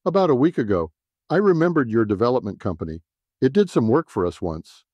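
An assured woman executive from a noir science fiction story, as acted out by a male narrator. She speaks in a composed, businesslike manner, with a mellow tone and a close, dry sound. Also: mid-range voice, sing-song, a little fast, no echo, highs cut off. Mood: confident. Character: sharply dressed, composed professional woman, tech executive.

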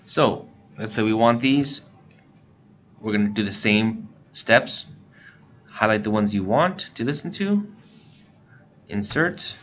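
An American man giving a casual, informal software tutorial, explaining things step by step as an instructor. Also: on the low side, lively intonation, slow pace, pauses often. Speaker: instructor, scanner shop staff. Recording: muffled lo-fi voice-over, faint background noise.

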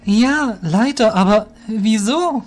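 A man's voice: high voice, animated, takes it slowly, soft background music, pitched above the performer's own voice. A man voicing an earnest, respectful, somewhat hesitant young shadow guild apprentice in a fantasy audio drama. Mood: bewildered.

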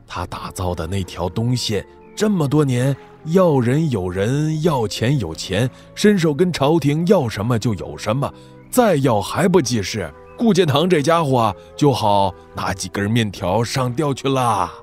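A man's voice: mid-range voice, big pitch swings, a little slow, darkish tone, soft background music. A man playing a shrewd, wry military strategist in a wuxia audio drama, speaking in an analytical, sardonic way. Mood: wry, amused. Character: shrewd, wry male military strategist weighing rival commanders.

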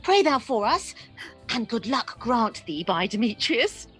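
A woman's voice: a little high, sing-song, measured pace, a bit bright, soft background music. A woman playing a tender, playful young woman in love, speaking heartfelt Shakespearean verse in a radio play. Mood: tender farewell.

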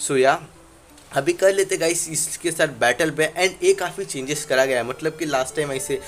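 A man's voice: on the high side, normal speed, a bit bright, faint music behind. A young man casually commentating a handheld game, rambling with frequent fillers and English words mixed in, recorded on a phone. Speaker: gaming channel host. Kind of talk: casual Let's Play commentary.

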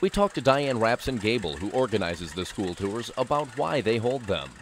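Casting: young male reporter, animated news read, faint background hiss. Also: lowish voice, moderate pace. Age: young adult. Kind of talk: scripted news narration.

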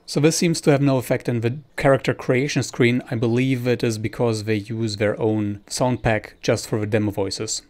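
A man's voice: medium pitch, fairly even intonation, normal speed, few pauses, darkish tone, faint music behind. A man presenting a screen-recorded tutorial, explaining each step informally.

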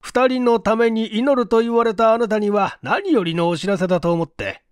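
A man's voice: high voice, no room sound, studio quality, voice pushed up, close to an everyday voice. A man voicing a shrewd, self-assured police chief who questions politely but emphatically, in a story reading. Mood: reassuring. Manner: deliberate, emphatic, leading questioning. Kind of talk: acted dialogue in story reading.

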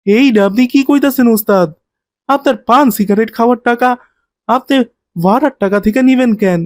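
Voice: mid-range voice, a little fast, no echo, lightly acted. An actor playing a hardworking, aggrieved ten-year-old boy minibus helper in a cartoon, with colloquial Bangladeshi speech and a plain-spoken, complaining manner. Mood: aggrieved, protesting. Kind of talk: cartoon voice acting.

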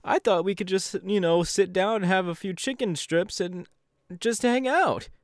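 A man's voice: high voice, moderate pace, dry recording, clean studio sound, close to an everyday voice. A man playing a friendly, bashful young hero with a hesitant, playful manner in an ASMR audio roleplay. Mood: flustered.

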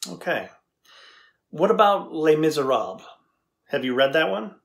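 A man's voice: fairly high pitch, big pitch swings, unhurried, creaky, heavily acted. A middle-aged man playing a curious, skeptical tech engineer interviewing an AI in a scripted dramatization, matter-of-fact and inquisitive. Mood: probing, skeptical. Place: indoors, plain backdrop.

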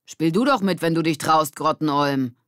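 A woman's voice: low voice, normal speed, fairly bright tone, no echo, studio quality. A woman voicing a blunt, casual schoolboy in a children's audiobook, in standard German. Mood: annoyed.

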